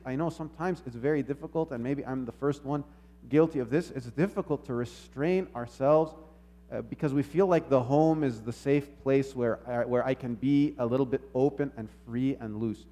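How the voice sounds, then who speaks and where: A man giving a sermon in a measured, reflective manner, posing rhetorical questions and repeating phrases as he teaches. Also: mid-range voice, mellow. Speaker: preacher.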